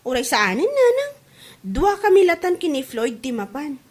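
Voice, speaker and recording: A woman's voice: sits high, wildly swooping pitch, normal speed. A woman playing an eager daughter in an Ilocano comedy drama. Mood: earnest. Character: eager daughter hoping to win singing contest.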